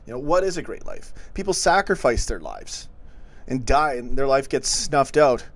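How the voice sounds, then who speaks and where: A man's voice: medium pitch, expressive, normal speed. Man arguing with his livestream chat. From not yet been to Liverpool. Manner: argumentative, reacts to chat comments, laughs often. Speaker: livestream host reading chat.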